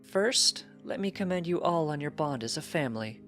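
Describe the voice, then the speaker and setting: A narrator voicing a gentle, gracious grown pony princess with formal, encouraging, measured speech in a storybook reading. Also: mid-range voice, animated, soft background music, voice pushed up, close to an everyday voice.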